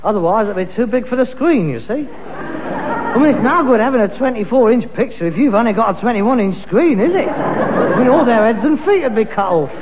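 An actor playing a pretentious, defensive grown son in a vintage British sitcom, with a London accent and a blustering delivery. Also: male voice, very high voice, expressive, moderate pace, in a large hall, muffled recording, a crowd in the background, noisy background.

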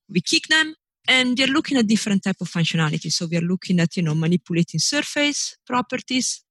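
A woman's voice: medium pitch, fairly bright tone, no room sound. A middle-aged woman from Italy speaks with an Italian accent, her answers loose and searching, full of restarts and "you know". She talks as an engineering professor chatting about her work. The sound is dry and close, with no room in it. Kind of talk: relaxed interview answers.

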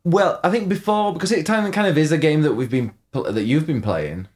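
A man co-hosting a casual podcast, slightly hoarse from coughing, rambling and teasing, often cutting himself off mid-sentence. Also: a little high, expressive, brisk. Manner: teasing, rambling, self-interrupting. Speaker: podcast co-host. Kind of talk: casual chat.

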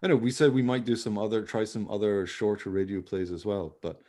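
A man's voice: on the low side, steady tone, a little fast, dark tone. A man chatting casually and in a rambling way as a podcast host. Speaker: podcast host and radio play maker. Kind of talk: casual chat.

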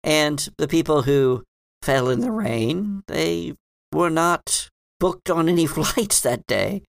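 A man's voice: fairly high pitch, lively intonation, takes it slowly, creaky, no echo, studio quality, heavily acted. A man playing a hesitant, bookish librarian in a tabletop game, rambling and hedging in an earnest, mildly dismissive way. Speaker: game master. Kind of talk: improvised in-character tabletop roleplay.

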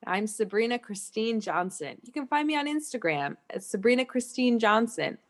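A woman's voice: fairly high pitch, sing-song, normal speed. A woman in casual improvised comedy banter.

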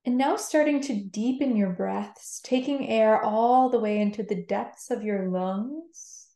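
Woman, meditation guide, higher-pitched, soft mellow tone, soothing instruction, echoing room. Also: a little slow. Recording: roomy, echoing sound.

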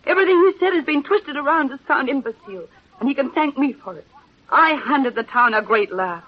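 A woman's voice: high-pitched, lively intonation, brisk. An actress playing a fervent, earnest newspaperwoman pleading in a vintage radio courtroom drama. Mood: impassioned. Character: impassioned newspaperwoman pleading for the man she loves. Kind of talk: 1930s-40s radio drama acting. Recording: muffled old radio recording.

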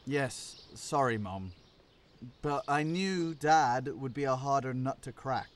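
A man's voice: medium pitch, slow, close to an everyday voice. A man acting a sheepish, earnest young son in an audio play, with a protesting manner.

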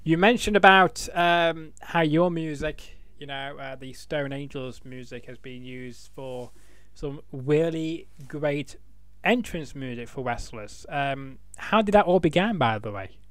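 A man's voice: a little high, measured pace, a bit bright, smooth, dry recording. A man hosting a casual interview, chatty, with stumbling restarts and frequent laughs. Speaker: podcast host.

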